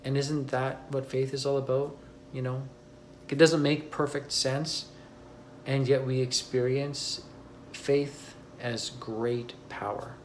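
A man's voice: mid-range voice, slight background noise. A man in his forties, a pastor, giving a short earnest sermon to a camera at home. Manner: earnest, gesturing while speaking to camera. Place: home room indoors.

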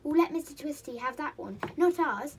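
A woman's voice: high voice, animated, normal speed, a little hiss or hum. A woman voicing a clever, mischievous male pixie in a children's storybook. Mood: reassuring, scheming.

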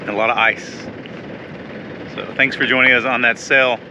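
A man in his forties chatting casually to camera as a sailing vlog host, relaxed and easygoing. He is outdoors on a sailboat at sea, filmed on a close handheld action camera. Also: mid-range voice, moderate pace, highs cut off, background noise. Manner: relaxed, chatty, laughs easily. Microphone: action camera held close at arm's length.

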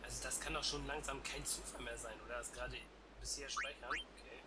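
A man's voice: mid-range voice, fairly quick. A young man with a stuffy cold, chatting casually as a let's play host on a headset. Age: young adult. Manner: chatty and relaxed. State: congested with a cold. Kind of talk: casual game commentary. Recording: noisy home headset recording.

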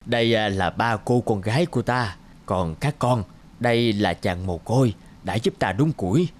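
A man voicing a gruff but fair old woodcutter father in a fairy-tale cartoon. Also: lowish voice, lively intonation, normal speed. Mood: approving. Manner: plain-spoken, doubtful then approving. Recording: music behind the voice.

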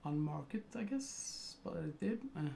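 Middle-aged Swedish-accented man, unhurried and very gravelly, casual screen tutorial with faint room noise and keyboard clicks. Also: fairly high pitch, lively intonation, mellow. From Sweden. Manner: thinks aloud with many ums and uhs. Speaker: photo editing tutor.

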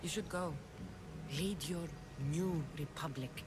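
A woman's voice: lowish voice, slow pace. An actress playing a sceptical young female mercenary of ancient Greece in a video game, speaking in a direct, guarded, questioning way. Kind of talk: dramatic video game cutscene acting.

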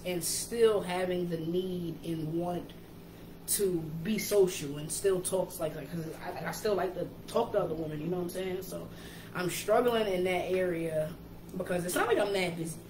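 Casual co-host: New York woman, thirties, animated and slangy, recorded in an echoey kitchen with background noise. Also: on the low side. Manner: animated, slangy, quick asides. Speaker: page admin and co-host. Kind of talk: casual chat on a livestream. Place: indoor kitchen. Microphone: camera or laptop mic.

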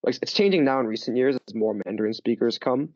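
A man's voice: mid-range voice, quick pace, darkish tone, dry recording, highs cut off. A young man from Canada, a guest on a video-call interview, talking casually with lots of fillers and restarts. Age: young adult. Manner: chatty, many fillers and restarts. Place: small room indoors. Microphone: headset on a video call.

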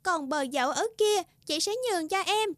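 A woman voicing a gentle, generous older-sister flower in a children's cartoon fairy tale. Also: very high-pitched, expressive, normal speed, no room sound. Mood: kindly. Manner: soothing, caring.

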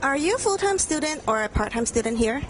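A young woman interviewing students at a game event, asking short, bright questions into a handheld mic in a large room. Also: high voice, lively intonation, fast talker, slight background noise.

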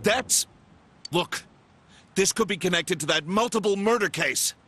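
A man playing a gruff middle-aged detective in a video game, a desperate, short-tempered father barking urgent orders. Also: high-pitched, measured pace, bright, forceful tone, a little hiss or hum. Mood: urgent, angry. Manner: brusque, commanding, urgent. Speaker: police detective character. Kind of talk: dramatic game voice acting.

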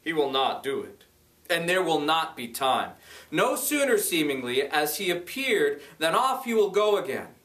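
A middle-aged man reading aloud from an inspirational book, deliberate and dramatic, with emphatic pauses. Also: a little high, sing-song, fairly bright tone. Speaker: reader, blog creator. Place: small bright room indoors. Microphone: camera a few metres away. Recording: roomy echo, distant microphone.

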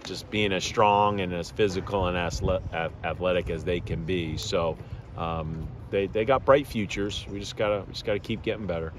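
A man in his fifties with a very low voice gives a measured postgame interview at a ballpark. He talks plainly, circles back with fillers and restarts, and steady outdoor noise hums behind him. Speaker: head baseball coach.

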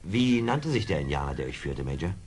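An actor playing a seasoned, wry frontier scout in a German radio play, dry, mocking and commanding. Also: male voice, extremely low pitch, sing-song, a little fast, breathy edge, full character voice. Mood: calm, commanding. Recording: hissy old radio play record.

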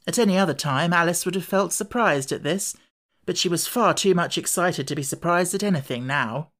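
A woman reading a children's classic as an audiobook narrator, in an expressive storytelling manner. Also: on the low side, fairly quick.

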